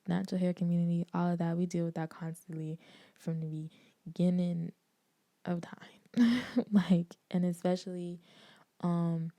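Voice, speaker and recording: A young woman from the Bahamas, hosting her own podcast in candid, animated casual talk with frequent laughs and slangy asides. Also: on the low side, measured pace, long pauses, no room sound. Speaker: podcast host. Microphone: desk microphone.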